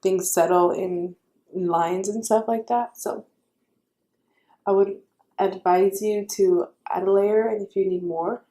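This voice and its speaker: Raspy young woman, mellow tone. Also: mid-range voice, fairly even intonation, slow. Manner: chatty, self-correcting, friendly advice. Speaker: beauty vlogger. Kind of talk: casual makeup tutorial.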